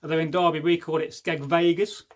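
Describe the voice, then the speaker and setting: Rambling Englishman, slightly high voice. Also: little pitch movement, a little fast. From England. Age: 40s. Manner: rambling and jokey, often losing his thread. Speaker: livestream host. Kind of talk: casual livestream chat reading viewer comments.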